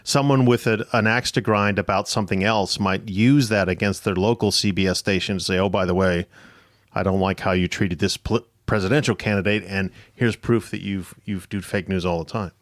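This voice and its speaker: A man's voice: fairly low pitch, fairly even intonation, brisk, no echo, clean studio sound. A middle-aged or older man hosting a conversational interview podcast. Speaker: podcast host.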